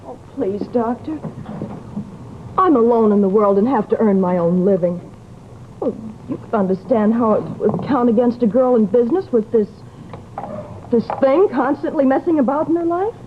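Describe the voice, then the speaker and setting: A woman's voice: a little high, normal speed, slight background noise, lightly acted. Woman acting an earnest young lady, old muffled radio. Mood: pleading. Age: young adult. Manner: polite, earnest, imploring. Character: earnest, pleading young lady, troubled yet genteel.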